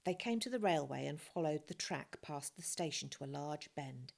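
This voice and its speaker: A woman's voice: low-pitched, animated, moderate pace, gravelly, darkish tone. A woman narrating a children's novel as a scripted reading.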